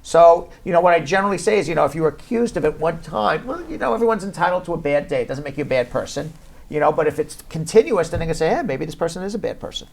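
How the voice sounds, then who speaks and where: A man's voice: fairly high pitch, lively intonation, fast, vocal fry. A man hosting a live-stream legal Q&A from a law office, explaining points patiently with lots of "you know" and repetition. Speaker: immigration lawyer and host.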